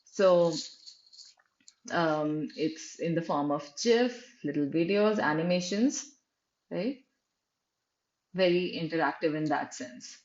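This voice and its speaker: A woman's voice: sits low, slow, pauses often, darkish tone. Woman reviewing websites, roomy lo-fi sound. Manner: conversational, frequent "right?" and fillers, restarts. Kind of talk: screen-share walkthrough commentary.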